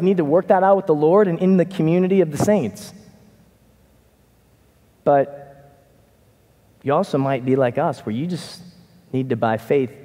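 A middle-aged man preaching a conversational sermon from a church stage, animated and casual. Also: fairly high pitch. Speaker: pastor. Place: church stage in a large hall. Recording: reverberant hall.

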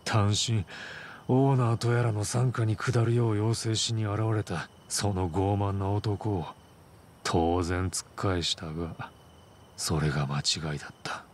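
An actor playing a proud, wounded Chinese mafia boss in a game cutscene, a middle-aged man speaking in a formal, solemn, measured way. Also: on the low side, fairly even intonation, slight background noise, close to an everyday voice. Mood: somber recounting. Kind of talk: Japanese video game cutscene acting.

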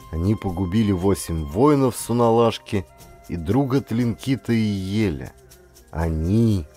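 A man narrating an adventure audiobook in a measured, theatrical, scripted style with music behind him. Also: on the low side, dark tone.

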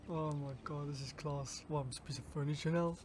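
A man's voice: fairly high pitch, steady tone, fairly quick, noisy background. A man giving casual reaction commentary as he streams a game.

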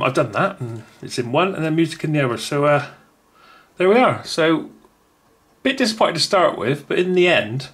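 A man's voice: mid-range voice, fairly bright tone. A man rambling casually as he reviews a small gadget at home, with frequent restarts. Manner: rambling, chatty, many restarts. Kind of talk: casual unboxing talk.

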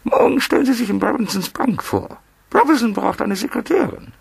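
An actor playing a cold, menacing blackmail mastermind in a radio crime play, with a husky disguised voice and clipped commands. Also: male voice, high-pitched, animated, brisk, exaggerated voice. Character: menacing anonymous blackmail mastermind giving orders.

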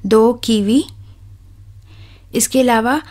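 A young woman, hosting a cooking channel and talking viewers through a recipe in a steady, instructive voice-over. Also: on the high side, drawn-out words. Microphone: voice-over, close mic.

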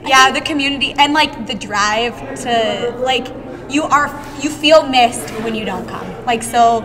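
A woman's voice: fairly high pitch, measured pace, crowd noise. Chatty young woman in a busy gym. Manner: chatty, many "like" fillers, animated. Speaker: gym coach and member. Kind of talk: casual interview chat. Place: gym room, sitting on the floor.

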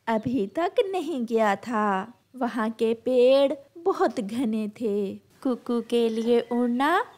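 Female narrator for children's tales, high register, very measured pace. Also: expressive, a little mellow. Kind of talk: scripted children's story narration.

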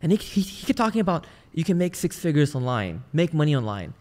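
A man's voice: a little high, very animated, quick pace, darkish tone, long reverb tail. A man from Malaysia telling his story casually as a podcast guest, in an informal, conversational way. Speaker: podcast guest, sales trainer and entrepreneur. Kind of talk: casual personal storytelling in an interview.

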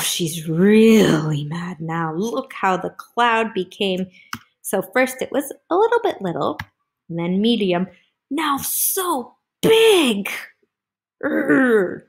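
A woman's voice: fairly low pitch, slightly rough. A woman reading a picture book aloud to children, expressively, with chatty asides and questions to her young listeners.

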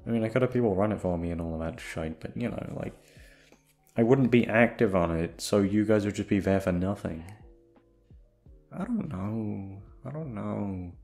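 A young man with a fairly low voice rambles casually to his listeners. He is hesitant and repetitive, swears easily and is quick to run himself down. Faint music plays behind him. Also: gravelly, a little mellow. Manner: hesitant, sweary, self-deprecating, repeats himself. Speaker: audio roleplay voice actor.

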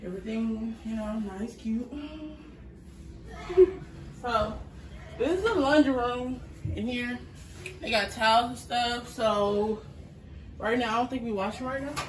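A young woman with a fairly high voice chats through a casual walking house tour at a slow pace. She often repeats phrases and addresses her viewers directly. The phone sound is noisy and a little distant from her. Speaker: vlogger giving a house tour. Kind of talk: casual handheld vlog tour. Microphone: handheld phone. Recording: roomy, noisy, voice off from mic.

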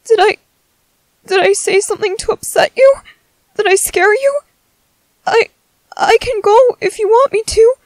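A shy, tender young merboy, acted by a woman for an ASMR roleplay. The voice is high and soft, moving slowly and hesitantly as it soothes the listener. It sounds close and dry, with a caring, worried temper. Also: no echo. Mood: anxious, concerned.

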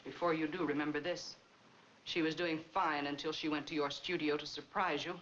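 A stern, loyal housekeeper in a 1940s noir film, firm and defensive. Her voice is sharp and quick, on a muffled old soundtrack. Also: sits low, steady tone, slight background noise. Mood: defiant. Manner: firm, pointed.

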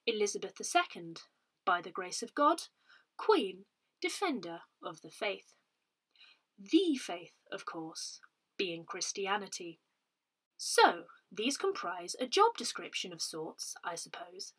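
A woman reading a newsletter aloud in a measured, expressive manner, as a volunteer narrator. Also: mid-range voice, slightly rough. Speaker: volunteer reader of a parish newsletter.

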